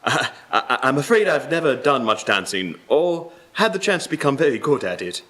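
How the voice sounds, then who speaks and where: A man's voice: medium pitch, very animated, normal speed, sharp tone. A man acting as a shy young apprentice in a live radio play, polite and hesitant. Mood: bashful, flustered. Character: shy, awkward, well-mannered young male apprentice.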